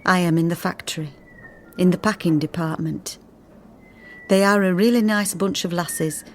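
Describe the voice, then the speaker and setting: A woman's voice: lowish voice, fairly even intonation, moderate pace, darkish tone, slight background noise, lightly acted. An actress playing a homely, wistful working mother of the 1940s reading out her letter in a radio drama. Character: plain-spoken 1940s working mother writing a letter home.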